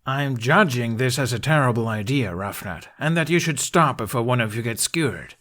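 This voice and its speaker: A man's voice: mid-range voice, lively intonation, moderate pace, fairly bright tone. A man playing a sensible, sarcastic young prince in a storybook with a wry, reasonable manner. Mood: wry, concerned.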